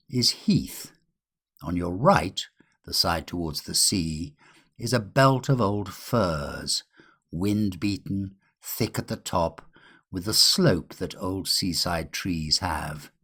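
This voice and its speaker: Expressive low-voiced man reading a tale, slightly husky. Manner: measured, expressive storytelling with dramatic pauses. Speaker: audiobook reader. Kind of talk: literary ghost story narration.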